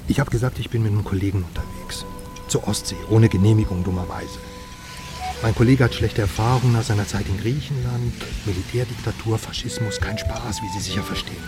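A man's voice: lowish voice, brisk, music in the background, close to an everyday voice. A man playing a detective's chatty, irreverent friend in a crime radio drama, talking in a wry, breezy, colloquial way. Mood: casual, explaining.

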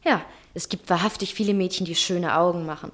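A woman acting in a 1960s German radio play as a capricious young lover, theatrical and teasing, often drifting into breathy murmurs. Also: lowish voice, fairly quick, lightly acted. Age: young adult. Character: dreamy, coquettish, capricious young woman in love.

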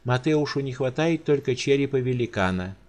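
A man voicing a spoiled, callous young noblewoman in an audiobook, speaking high, shrill and haughty. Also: lively intonation, moderate pace, a little mellow, natural voice. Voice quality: shrill and indignant.

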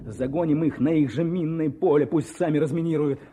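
A man plays a Soviet soldier commanding a trench, anxious and urgent. The voice sits fairly high and full of feeling, in a slightly noisy old radio war drama. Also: fairly even intonation, a little fast, dark tone, close to an everyday voice. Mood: worried. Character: tense, anxious Soviet soldier leading his men in a trench. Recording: noisy old radio play with battle ambience.

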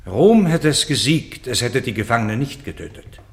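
An actor playing a dignified, defeated Roman general, speaking in noble, measured verse in a radio play. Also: male voice, mid-range voice, sing-song, lightly acted. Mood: stoic, proud. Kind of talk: classical verse radio drama acting. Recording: echoey, roomy studio sound.

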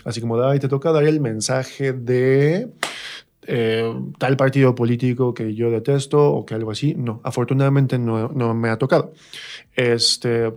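A man with a Mexican accent and a mid-range voice speaks as an interview guest. His delivery is colloquial and reflective, like someone thinking through ideas in a relaxed chat. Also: mid-range voice, moderate pace. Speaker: interview guest, stage actor. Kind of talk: casual interview conversation.

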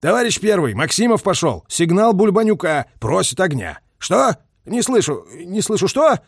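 A man's voice: mid-range voice, very animated, normal speed, vocal fry, breathy edge, no room sound, studio quality, exaggerated voice. A man voicing a harsh, impatient Soviet colonel in battle, barking clipped commands in a war audiobook. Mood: agitated, shouting. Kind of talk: audiobook character voice, war novel.

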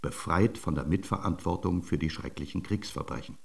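A former political prisoner with a gravelly voice, a little away from the microphone. He recalls captivity soberly and plainly, as testimony in a radio drama. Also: deep voice, fairly quick, far from the mic. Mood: sober recollection.

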